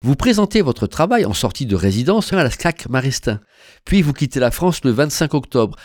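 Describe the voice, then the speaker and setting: A man hosting a radio interview, asking questions in a formal, measured way. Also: mid-range voice, very animated, darkish tone, no echo, studio quality. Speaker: radio host.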